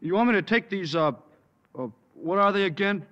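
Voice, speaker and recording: Dopey, earnest schoolboy. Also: male voice, sits high, expressive, fairly bright tone, highs cut off. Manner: slow-witted, earnest, fumbling. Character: dim-witted good-natured teenage schoolboy. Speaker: student. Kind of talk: 1950s radio sitcom acting.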